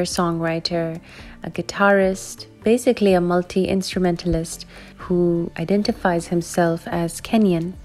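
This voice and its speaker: A woman hosting a radio podcast, reading a measured scripted introduction of the episode. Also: on the low side, darkish tone. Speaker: podcast host.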